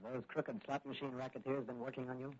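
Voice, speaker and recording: An actor playing a confident young police officer and masked crime-fighter in brisk, take-charge 1940s radio style. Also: male voice, mid-range voice, flat delivery, close to an everyday voice. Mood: concerned, probing. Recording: muffled 1940s radio recording.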